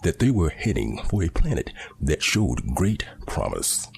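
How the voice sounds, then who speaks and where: A man playing a formal, logical rabbit first officer, precise and deliberate, in a space opera audio drama. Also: extremely low pitch, huge pitch swings, gravelly, a little mellow, slight background noise, exaggerated voice. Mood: formal, analytical.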